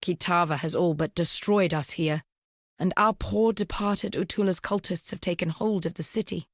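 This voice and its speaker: Young female rebel, fantasy game. Resolute, solemn storyteller with a lower register and measured delivery, recorded dry. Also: steady tone, no room sound. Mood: weary, foreboding. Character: grave, resolute young woman rebel, former courier and slave. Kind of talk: dramatic scripted video game dialogue.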